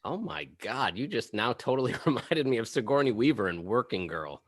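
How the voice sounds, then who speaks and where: A man's voice: medium pitch, sing-song, a little fast, no room sound. A man playing an awkward, apologetic and tactless office worker in a comedy table read, hesitant and rambling. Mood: sheepish amazement. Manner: hesitant, rambling, nervous chuckles.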